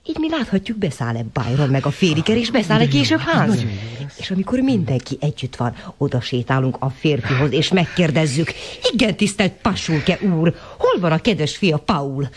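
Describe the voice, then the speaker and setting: Boy detective leader, radio drama: earnest, emphatic, brisk orders, very animated melody, played by an actor. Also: mid-range voice, natural voice. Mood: planning, decisive. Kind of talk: radio play acting.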